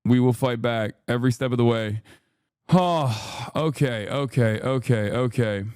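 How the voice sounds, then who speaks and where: A man with a Texan twang hosting a live stream, riffing loosely and excitably with plenty of swearing and repeated phrases. His voice is smooth and resonant at a middling pitch. It sounds dry and close, with no room around it. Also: a little slow, no echo, faint music behind. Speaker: livestream host. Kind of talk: casual livestream commentary.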